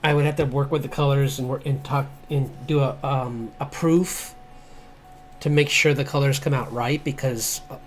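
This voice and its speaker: A man streaming as he draws, chatting casually in a halting, rambling way as an artist. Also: mid-range voice, steady tone. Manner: halting, rambling, chuckling asides. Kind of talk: casual livestream chat while drawing. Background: background music.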